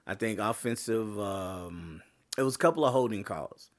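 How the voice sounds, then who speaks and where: Podcast host, male, slightly lower voice, hoarse, loose rambling sports talk. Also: normal speed. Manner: rambling, many fillers and restarts. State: voice getting hoarse.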